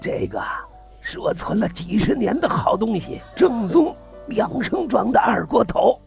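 A man playing a chatty, courteous trader in an audio drama, wheedling in colloquial, drawn-out sales patter with a slightly husky voice. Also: high-pitched, very animated, a little mellow, soft background music, heavily acted. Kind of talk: Chinese audio drama dialogue.

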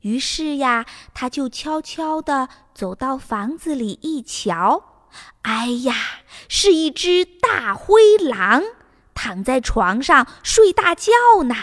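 A woman narrating a fairy tale for children in an expressive storytelling manner. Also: high voice, slow, long reverb tail. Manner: expressive, spoken to young listeners with sentence-end particles. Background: music.

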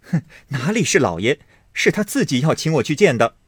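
A man voicing a pampered young nobleman in an audiobook reading of a classic novel, brisk and a little whiny. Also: on the high side, sing-song, husky, no echo, clean studio sound, voice pushed up. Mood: petulant.

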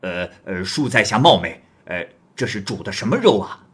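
A man voicing a booming red-bearded wanderer. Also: sing-song, normal speed, breathy edge, exaggerated voice. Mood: hearty. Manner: loud, booming, blunt. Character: bold, hearty red-bearded male wanderer, middle-aged.

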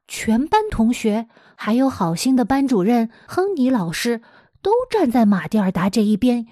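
A woman with an expressive, dramatic storytelling manner, speaking as a teacher to young listeners. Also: high voice, no echo, studio quality. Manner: dramatic, animated delivery with rhetorical questions addressed to young listeners. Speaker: teacher hosting a children's story podcast.

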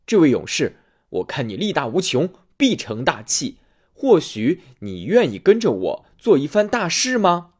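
A man voicing a bold, boastful discharged soldier in a storybook reading, with a husky voice. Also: fairly high pitch, huge pitch swings, a little slow, full character voice. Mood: rousing. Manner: boastful, rousing.

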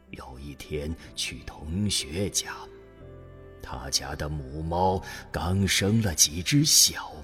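A man playing a gentle, sentimental elderly grandfather who dotes on his cat in a radio drama. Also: rumbling low, exaggerated voice. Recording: long reverb, music behind.

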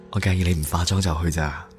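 Devoted young groom, radio drama, lowish pitch, earnest, gentle, background music. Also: male voice. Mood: affectionate. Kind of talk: Cantonese radio drama acting. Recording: music under the voice.

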